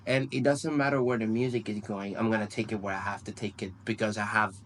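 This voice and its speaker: A man's voice: on the low side, steady tone, quick pace, a bit bright, slight background noise. A man chatting casually on a podcast panel as one of the rappers. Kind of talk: casual chat.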